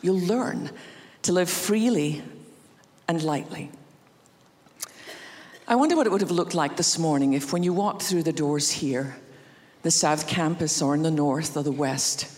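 A Scottish woman preaches from the stage of a large church, and her voice rings out with a long echo around the hall. She tells stories with gentle humor and acts out the lines of the people in them. Her pitch rises and falls in a lively way. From Scotland. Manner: warm anecdotal humor, acts out quotes. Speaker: guest preacher. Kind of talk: sermon storytelling.

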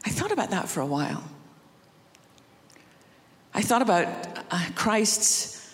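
A woman from Scotland with a Scottish accent, preaching a sermon and telling a family story with gentle humor. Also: mid-range voice, sing-song, a little slow. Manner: warm anecdotal humor, acts out quotes. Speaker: guest preacher. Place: large church auditorium. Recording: reverberant church hall.

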